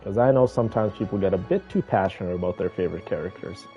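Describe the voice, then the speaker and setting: A man's voice: low voice, fast, warm tone. A man chatting casually to his live stream viewers, reacting to a game with emphatic, repetitive phrasing. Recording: muffled lo-fi mic. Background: game music.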